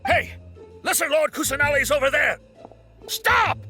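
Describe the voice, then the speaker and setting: An excitable, officious city guard from a video game. The voice is very high and forceful with lively swings, urgently barking orders over faint music. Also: male voice, unhurried, full character voice.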